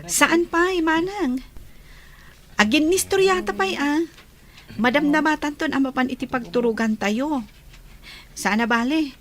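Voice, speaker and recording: A woman's voice: high-pitched, a little slow, long pauses. A woman playing a chatty, eager younger colleague in an Ilocano radio drama. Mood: eager, friendly.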